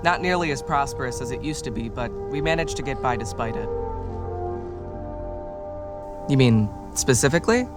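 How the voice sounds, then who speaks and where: A man's voice: mid-range voice, expressive, quick pace, natural voice. A man voicing a laid-back, self-deprecating orc writer and reluctant adventurer in a video game, with a wry, hesitant, understated delivery. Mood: reminiscing. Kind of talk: casual video game companion dialogue. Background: music behind the voice.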